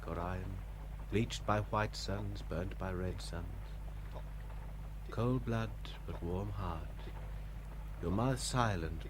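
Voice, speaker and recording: A man acting in a 1960s radio drama as an earnest, reflective spaceship crewman, with measured, poetic delivery. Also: sits low. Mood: tender hushed. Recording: noisy vintage radio drama tape.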